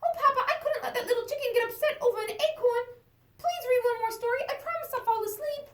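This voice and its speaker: High, excitable little chicken child. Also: female voice, fast talker, echoey room. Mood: apologetic, pleading. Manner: eager, pleading, bursting in.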